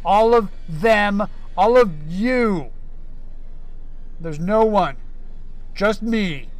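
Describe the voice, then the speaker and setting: An actor playing a paranoid, desperate survivor alone in a ruined world, talking in a frantic, pleading way. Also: male voice, sits high, very slow. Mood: bleak. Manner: frantic, pleading, then bitter. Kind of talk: audio horror drama.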